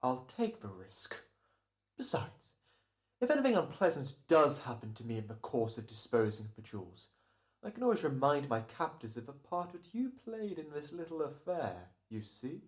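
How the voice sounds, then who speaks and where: Guy acting a smug gentleman crook in old radio drama, dryly polite, really animated pitch. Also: normal speed. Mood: suave defiance.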